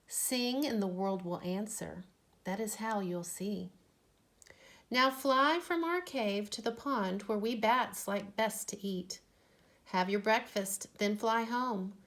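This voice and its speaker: A woman voicing a gentle, wise mother bat in a storybook, speaking softly and soothingly to her child. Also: medium pitch, slow pace. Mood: tender, reassuring. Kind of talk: storybook read-aloud.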